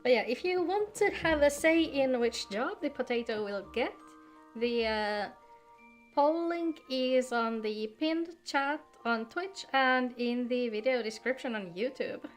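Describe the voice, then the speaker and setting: A woman talks casually to the viewers of her game livestream. She is chatty and informal, with game music playing softly behind her. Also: sits high, lively intonation, unhurried. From Finland. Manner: chatty, greets viewers by name.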